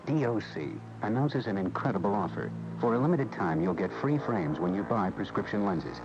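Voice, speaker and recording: A male announcer delivers a scripted sales pitch in a low voice. Loud music plays behind him, and the old radio broadcast sounds muffled. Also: expressive, normal speed. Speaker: commercial announcer. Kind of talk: advertising read.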